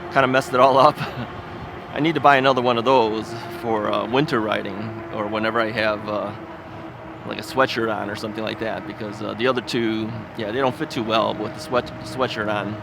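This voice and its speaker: A man's voice: mid-range voice, fairly even intonation, moderate pace, vocal fry. A man hosting a casual vlog while out riding on a city street. He rambles in a folksy way, with lots of filler words and frequent chuckles. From United States. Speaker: ebike video host. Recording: outdoor street recording with traffic noise. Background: traffic and street noise.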